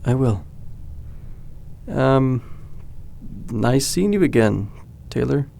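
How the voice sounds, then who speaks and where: A man playing a steady, protective young friend, easygoing and reassuring, in naturalistic audio drama style. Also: medium pitch, drawn-out words, vocal fry, slight background noise, heavily acted. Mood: helpful.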